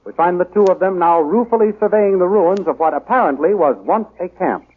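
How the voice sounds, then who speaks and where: A man's voice: high-pitched, a little fast. A man with an American accent narrating an old radio serial in a grandiose, declamatory announcer's style. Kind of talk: 1930s radio scripted narration. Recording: noisy, tinny old radio recording.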